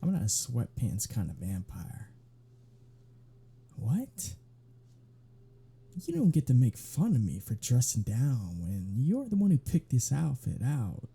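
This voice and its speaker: A man playing a sly, flirtatious vampire in an ASMR roleplay, with a sardonic, teasing drawl that often drops to breathy murmurs. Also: medium pitch, huge pitch swings, slow, pauses often, exaggerated voice. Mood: playful grumbling. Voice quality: husky, breathy, dark. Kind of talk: ASMR roleplay audio drama.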